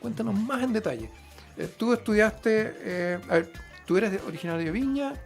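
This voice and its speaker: A man hosting a radio interview, talking conversationally with many fillers and quick affirmations. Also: high voice, lively intonation, soft background music. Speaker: radio show host.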